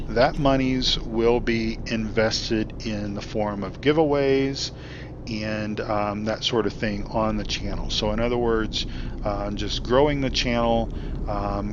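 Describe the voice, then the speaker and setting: A man hosting his gaming channel, chatting casually while he plays, rambling with many ums and uhs, his voice getting hoarse. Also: on the low side, muffled recording, background noise. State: losing his voice. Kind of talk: casual commentary while playing. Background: truck engine and road sounds.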